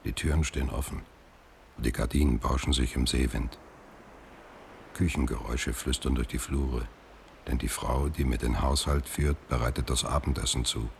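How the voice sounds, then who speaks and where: A proud, washed-up middle-aged player in a radio drama. His voice is extremely deep and his delivery is measured and deliberate. Some noise and ambience sit behind him. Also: fairly even intonation, creaky. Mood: reflective, composed. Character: washed-up, proud middle-aged male actor turned letter writer. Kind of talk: German radio drama acting.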